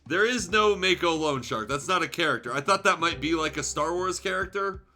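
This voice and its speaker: Wry male streamer, very bright forceful voice. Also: on the high side, lively intonation, brisk. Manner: wry, sarcastic, sweary. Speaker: streamer reading fan fiction aloud. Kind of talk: livestream narration and wry commentary.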